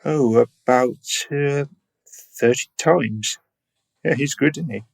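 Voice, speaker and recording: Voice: medium pitch, takes it slowly, creaky. An actor playing a naive, trusting footballer in a radio play, hesitant and a little dim. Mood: hesitant, puzzled. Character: naive, loyal, well-paid young footballer. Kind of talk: radio drama acting.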